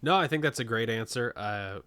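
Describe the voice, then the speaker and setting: A man's voice: medium pitch, moderate pace, bright, forceful tone, no room sound. A man hosting a casual group podcast, chatting informally and swearing freely. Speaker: podcast host.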